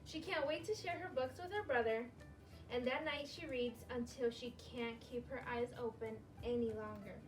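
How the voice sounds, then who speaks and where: A woman teacher reading a picture book aloud to young children in an expressive, clearly phrased storytelling way. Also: a little high, moderate pace, distant mic, music in the background, low-quality recording.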